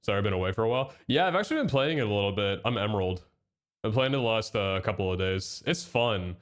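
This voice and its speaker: A man's voice: fairly low pitch, quick pace. Chatty young man with a head cold. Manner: chatty and excitable, reads out chat and thanks subs. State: sick with a head cold, a bit nasal. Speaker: streamer. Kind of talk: casual live-stream chat.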